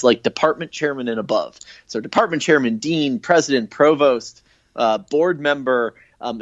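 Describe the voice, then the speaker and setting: Casting: male radio guest, informal explainer, halting but keen, mid-pitched, on a muffled low-fidelity call. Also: dry recording. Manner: hesitant, halting, filler-heavy delivery. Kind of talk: informal explanatory interview talk. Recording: dull, lo-fi call-in audio.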